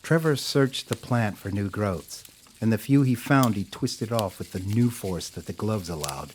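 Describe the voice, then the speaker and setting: A man narrating a scripted audio drama as a measured, literary storyteller. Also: on the low side, lively intonation, a little mellow, slight background noise, rough recording.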